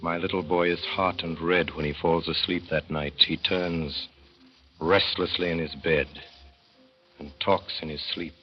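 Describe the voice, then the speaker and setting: A man narrating a 1930s radio drama in a measured, wry way, with deliberate pauses. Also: low-pitched, steady tone, faint music behind. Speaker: narrator and lead performer. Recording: dull 1930s radio broadcast.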